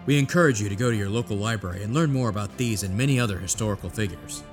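A man reading scripted credits as an announcer, in a measured presentational style. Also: lowish voice. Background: music.

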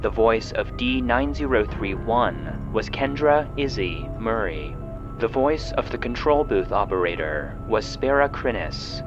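Measured male narrator over prominent music. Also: fairly low pitch, animated, lo-fi sound. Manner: measured, formal announcing. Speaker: producer and narrator. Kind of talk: scripted narration, end credits.

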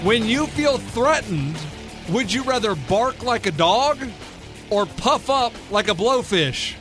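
A man's voice: extremely high pitch, lively intonation, slow pace, over music. A middle-aged man co-hosting a radio show, teasing and mock-offended in casual studio banter.

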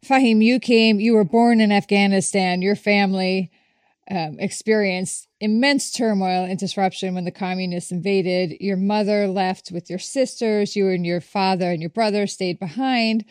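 A woman hosts an interview in a casual, conversational way. She is effusive and encouraging toward her guest, with a mid-range pitch. The sound is dry, with no room echo around her. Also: fairly even intonation, normal speed, a little mellow, smooth. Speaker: interview host, author.

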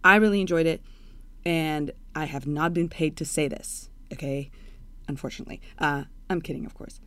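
A woman from Russia hosts an interview in a chatty, candid manner. Her voice sits low for a woman and sounds close and dry, with no room in it. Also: moderate pace, fairly bright tone, no echo. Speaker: podcast host.

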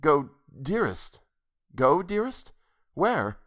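A man voicing an earnest young doctor in love, gentle and solemn, in a literary audiobook. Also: fairly high pitch, sing-song, slow pace, voice pushed up, exaggerated voice. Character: young doctor, earnest, devoted, desperately hopeful lover. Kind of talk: literary audiobook character dialogue.

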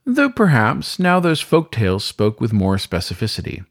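A man's voice: mid-range voice, huge pitch swings, normal speed, dry recording, clean studio sound. A man narrating a scripted short story in a dramatic storytelling style.

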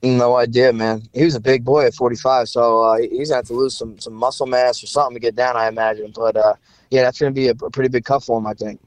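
A man in his thirties, a guest fighter chatting casually, halting and repeating words with many fillers. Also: medium pitch, little pitch movement, no echo, low-quality recording. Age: 30s. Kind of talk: casual podcast chat.

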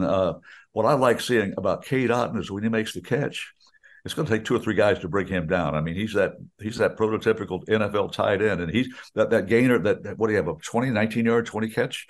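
Older man rambling about football. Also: lowish voice. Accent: American. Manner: rambling, many restarts and asides. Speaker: radio play-by-play announcer. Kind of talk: casual sports radio chat.

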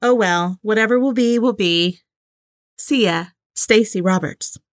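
A woman's voice: medium pitch, a little slow, no room sound, clean studio sound. A woman in her forties co-hosting a podcast, chatting and reading aloud in a giggly, informal way. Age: 40s. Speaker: podcast co-host. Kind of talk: casual chat and diary reading.

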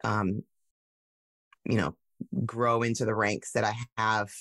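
Woman, interview guest, animated storytelling, filler words, dry close mic. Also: rumbling low, slow, no room sound. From United States. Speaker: guest, tech founder. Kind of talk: casual interview conversation.

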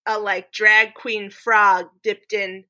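Female podcast co-host, raspy and sharp-edged, self-mocking comic banter. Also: medium pitch, level tone, slow. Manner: joking, self-deprecating, laughs often. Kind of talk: casual chat.

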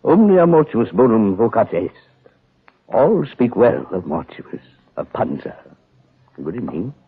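An actor in a 1940s radio drama playing a fussy, pompous, theatrically proud gemologist with florid, repetitive speech and a husky voice. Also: male voice, lowish voice, big pitch swings, measured pace. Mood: inquisitive, courtly. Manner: florid, repeats phrases, pompous. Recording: tinny, narrow-band 1940s radio recording.

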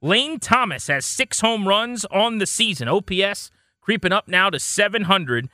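A man's voice: sits high, animated, moderate pace, pushed and bright, no echo, clean studio sound. A man hosting a sports talk show, presenting segments and interviewing guests in an upbeat radio style.

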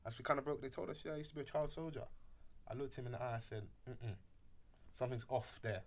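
A man's voice: medium pitch, fast. A young man, chatting animatedly on a podcast and telling stories in slang. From Britain. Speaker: podcast host. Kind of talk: casual podcast chat.

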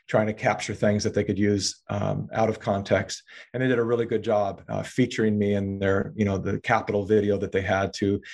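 Lowish-voiced guy rambling earnestly in an interview, lots of restarts. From California. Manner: earnest, long-winded, restarts words often. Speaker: filmmaker guest. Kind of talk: political interview talk.

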